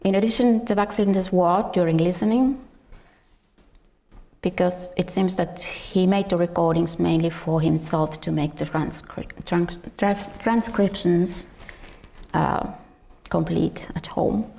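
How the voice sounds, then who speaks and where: A woman with a Finnish accent presents research in an academic lecture. Her voice sits a little low and carries plenty of vocal fry. She reads from prepared notes in a halting way, sometimes stumbling and repeating a word. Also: fairly even intonation, dark tone. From Finland. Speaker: researcher and musician presenting at a conference. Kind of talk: academic lecture, partly read from a script.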